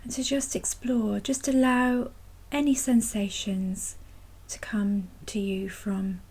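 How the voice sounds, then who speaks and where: A woman leading a guided meditation in a soft, breathy, husky voice, gently and without hurry, leaving long pauses. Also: mid-range voice, steady tone. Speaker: mindfulness practice guide. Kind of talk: guided body scan meditation.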